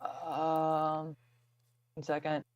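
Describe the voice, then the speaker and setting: A man, feeling unwell, speaks slowly as a player in a tabletop game. The sound is dull and lo-fi, with a dry closeness. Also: fairly high pitch, monotone, no room sound. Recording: dull, muffled lo-fi mic.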